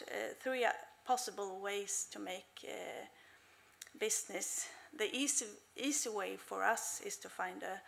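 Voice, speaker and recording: Woman panelist: slow, creaky delivery, hesitant. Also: mid-range voice, fairly bright tone. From Sweden. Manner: slow, hesitant, many fillers. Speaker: conference panelist. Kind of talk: business presentation.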